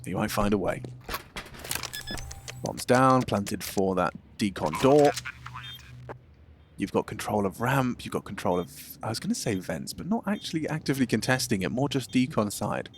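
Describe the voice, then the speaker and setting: A man doing play-by-play esports commentary who gets excitable and jumps up in pitch on big plays. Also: normal speed, pauses often, a little hiss or hum.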